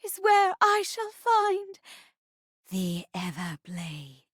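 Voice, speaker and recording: A woman's voice: extremely high pitch, huge pitch swings, very slow, dry recording, clean studio sound, voice pushed up, heavily acted. A woman voicing an earnest forest spirit on a quest, declaiming grandly in archaic fantasy style for a game.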